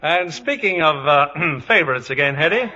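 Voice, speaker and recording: A man hosts an old-time radio show with scripted polish. His voice is bright and a little high. The muffled recording rings with hall reverb. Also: animated, normal speed. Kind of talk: scripted 1950s radio hosting.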